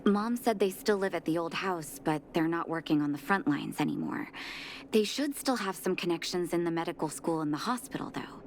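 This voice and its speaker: Casting: a calm, caring game doctor, lowish voice. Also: female voice, brisk, a little hiss or hum. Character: gentle, composed adult woman doctor. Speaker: game character.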